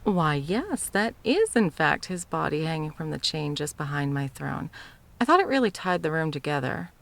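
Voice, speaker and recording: A woman's voice: low voice, very animated, moderate pace, vocal fry, exaggerated voice. A woman playing a haughty, sadistic sorceress tyrant in a fantasy audio roleplay, with a theatrical, mocking and condescending delivery. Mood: haughty, taunting. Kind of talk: scripted ASMR fantasy roleplay.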